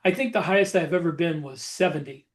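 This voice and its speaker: A man with a distinctly high voice for a male speaker talks as a podcast co-host and author. His style is casual and conversational. He speaks haltingly, circling back to repeat and rephrase what he means.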